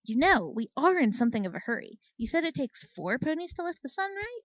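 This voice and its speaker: A woman's voice: high-pitched, sing-song, fairly quick, no echo, lo-fi sound, voice pushed up. A woman voicing a brash, predatory pegasus soldier mare in a storybook, bold and exaggerated. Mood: eager, scheming.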